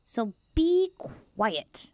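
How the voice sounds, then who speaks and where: A woman's voice: fairly high pitch, big pitch swings, extremely slow, no echo, heavily acted. A woman voicing a cute, self-important young stray catgirl in a languid ASMR roleplay. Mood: tired, self-important. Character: cute, smug, pampered, drowsy young female stray catgirl.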